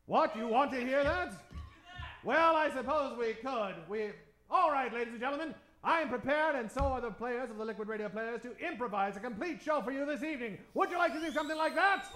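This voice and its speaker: Male improv show host, high voice, theatrical, tongue-in-cheek, old-time radio patter, roomy stage echo. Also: brisk, pushed and bright. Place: theater stage before a live audience.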